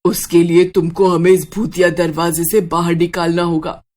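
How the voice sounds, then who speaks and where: A woman voicing a solemn ghostly witch in a horror story, speaking in a slow, ominous way. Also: lowish voice, little pitch movement, darkish tone, lightly acted. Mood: instructing. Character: ghostly witch woman, eerie, solemn, helping from beyond death.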